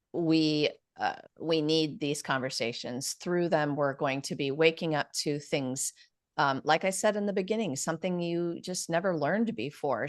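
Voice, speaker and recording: Upbeat woman interview host, low-pitched voice, enthusiastic and warm-hearted in her praise, a few ums. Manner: upbeat, grateful, with fillers. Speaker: interview host, publishing CEO.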